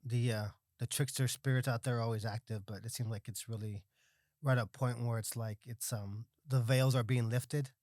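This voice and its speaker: A man's voice: mid-range voice, fairly even intonation, normal speed, a little mellow, no echo. Casual slangy man, close dry sound. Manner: slangy, peppered with dude and bro. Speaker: podcast guest. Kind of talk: casual conversation.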